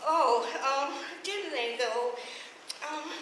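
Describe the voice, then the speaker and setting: Woman acting a high, gushing small-town housewife, echoey stage. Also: very slow. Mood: chatty, fussy. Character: chatty, fussy small-town housewife and mother. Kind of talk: 1930s-40s radio serial commercial acting, staged. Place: stage of a live radio play performance. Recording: very echoey hall, far from mic, faint noise.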